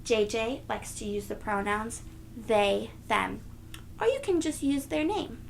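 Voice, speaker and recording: A woman reading a children's picture book aloud as a storyteller, in a deliberate, teacherly, sing-song way for young listeners. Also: a little high, lively intonation, vocal fry, a little hiss or hum.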